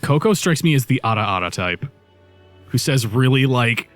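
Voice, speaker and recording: A man's voice: mid-range voice, lively intonation, normal speed, fairly bright tone. A man co-hosting a let's play in casual banter, rambling, searching for words and swearing. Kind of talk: casual banter over gameplay. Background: video game music.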